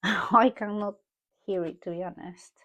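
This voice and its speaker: A woman from Peru with a strong Peruvian Spanish accent, talking casually through an art journal page as she paints, often pausing and correcting herself as she thinks aloud. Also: mid-range voice, fairly even intonation, fairly bright tone, highs cut off. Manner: musing aloud while painting, unhurried and self-correcting. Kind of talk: casual art tutorial narration.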